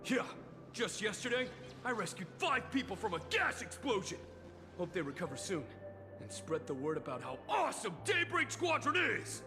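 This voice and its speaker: A woman's voice: mid-range voice, big pitch swings, normal speed, close to an everyday voice. A woman playing a boisterous, boastful young masked hero who leads a squad in a video game, with a teasing, theatrical manner. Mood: boastful, exuberant. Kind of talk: animated game voice acting. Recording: long reverb, clear music behind.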